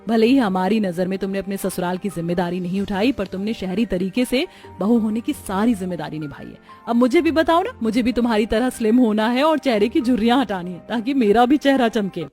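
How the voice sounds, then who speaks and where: A woman's voice: medium pitch, fairly quick, a little mellow, soft background music, close to an everyday voice. A woman playing a hardworking, sensible village sister-in-law in a cartoon, speaking earnestly. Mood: won over. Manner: earnest, advising.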